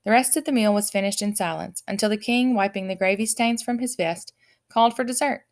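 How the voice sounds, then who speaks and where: A woman reading a children's story aloud as an expressive narrator. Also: medium pitch, fairly quick. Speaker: audiobook reader.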